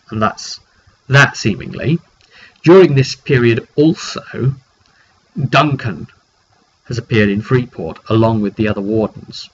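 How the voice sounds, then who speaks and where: A British man giving a casual, rambling recap of a roleplay game session, often halting mid-sentence as he tells the story. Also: medium pitch, a bit bright. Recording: dull lo-fi recording with background noise.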